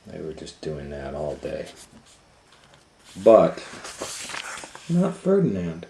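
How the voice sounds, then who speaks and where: Deep-voiced, unhurried man reading in echoey room. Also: huge pitch swings, gravelly, mellow. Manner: conversational, explaining and questioning listeners as he reads. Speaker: storytime reader and educator. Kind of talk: animated children's read-aloud with asides. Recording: echoey room, faint noise.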